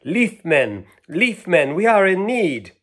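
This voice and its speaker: A man's voice: high voice, very animated, slow, fairly bright tone, voice pushed up, full character voice. A man voicing a mysterious long-lost toy in a children's storybook, giving solemn instructions. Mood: solemn, urgent. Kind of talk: children's storybook read-aloud.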